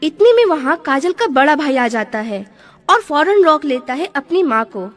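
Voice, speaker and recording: A woman's voice: sits high, big pitch swings, moderate pace, soft background music. A woman narrating a scripted family moral story as a storyteller.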